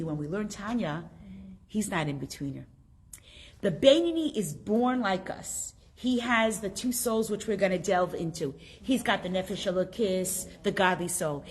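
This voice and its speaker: A middle-aged woman teaching a small women's class in an easy, talkative way. Her voice sits at a medium pitch, and she leans hard on her main points now and then. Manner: conversational, drawing answers from the class, emphatic bursts. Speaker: rebbetzin teaching a Tanya class. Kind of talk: informal religious lesson to a women's group.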